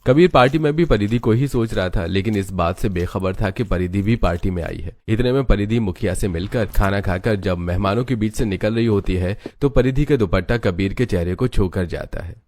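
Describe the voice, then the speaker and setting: A man's voice: on the low side, a little fast, few pauses, a little mellow, a little hiss or hum. A man narrating a scripted cartoon story as its narrator.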